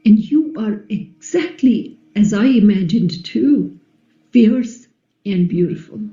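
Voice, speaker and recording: Female actor as a serene, quietly defiant epic queen; very soft-toned, slow, echoey. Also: mid-range voice, expressive. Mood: wistful. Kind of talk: dramatic play reading. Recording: echoey, slightly dull, music underneath.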